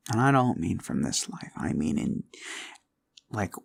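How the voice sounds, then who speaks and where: A man playing an obsessive, controlling boyfriend in an ASMR roleplay, coaxing and condescending, often slipping into breathy whispers. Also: fairly low pitch, sing-song, unhurried, exaggerated voice. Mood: intense, devoted. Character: obsessive, controlling yandere boyfriend, menacingly sweet.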